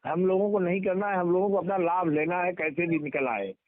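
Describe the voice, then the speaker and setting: A man's voice: high voice, brisk. A middle-aged man in an informal phone conversation, repeating words and phrases emphatically, as a union leader. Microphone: telephone. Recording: muffled recorded phone call.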